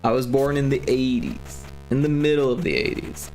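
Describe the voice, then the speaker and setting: Casual streamer, man in his forties, gravelly voice, chatty and rambling, soft music underneath. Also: mid-range voice, steady tone, normal speed, poor audio. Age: 40s. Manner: rambling, chatty, lots of fillers and restarts. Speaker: livestreaming game developer. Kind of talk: casual livestream chat.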